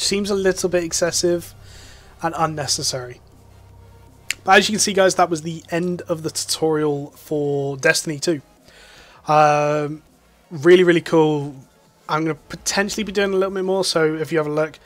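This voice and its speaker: A man's voice: a little high, moderate pace, soft background music. A young man giving rambling, enthusiastic casual commentary while playing a game. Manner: rambling, filler-heavy, enthusiastic. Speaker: gaming YouTuber.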